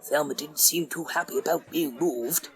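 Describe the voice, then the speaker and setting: An actor playing a suspicious, stubborn elderly care-home resident in an audio drama, earnest and determined. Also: male voice, fairly high pitch, normal speed, creaky. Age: older.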